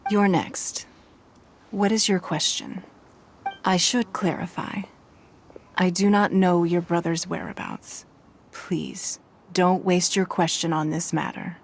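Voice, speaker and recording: A shrewd, wealthy game noblewoman with a lowish, creaky-edged voice. She is formal, gracious and fully in command. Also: steady tone, a little hiss or hum. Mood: shrewd, assured. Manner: formal, measured, authoritative. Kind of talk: English video game voice acting.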